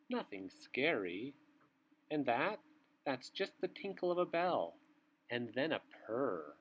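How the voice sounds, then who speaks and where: A man reading a picture book aloud to young children, with theatrical, drawn-out phrasing and asides to his listeners. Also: mid-range voice, fairly bright tone, husky, rough recording.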